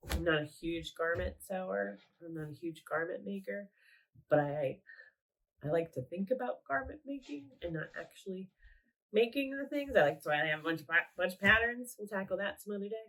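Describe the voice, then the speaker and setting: A woman's voice: on the low side, a bit bright, low-quality recording. A woman with a nasal voice who is sick and congested, chatting casually in a rambling, self-correcting way while showing her craft projects at home. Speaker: craft vlogger. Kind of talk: casual show-and-tell vlog.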